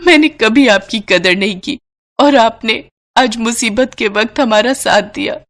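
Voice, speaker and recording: Woman acting a high-pitched dramatic young daughter-in-law. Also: measured pace, a bit bright. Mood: tearful remorse. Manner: scornful, dramatic. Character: haughty, sharp-tongued young daughter-in-law.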